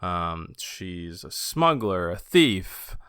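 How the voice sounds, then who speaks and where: A man's voice: sits low, very animated, drawn-out words, fairly bright tone, smooth, dry recording, studio quality. A man hosting a casual book discussion, speaking as an enthusiastic fan. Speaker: podcast host.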